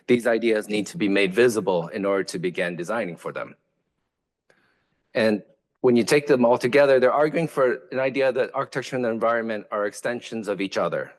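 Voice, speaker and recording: Slightly low-voiced man, Vietnamese native, lecturing. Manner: hesitant, many fillers and restarts. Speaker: architect giving a lecture. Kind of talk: academic lecture.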